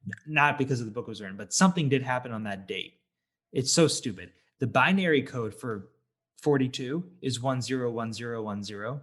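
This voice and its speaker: A man co-hosting a casual film review podcast, talking haltingly as he searches for words. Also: mid-range voice, fairly bright tone. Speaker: podcast co-host.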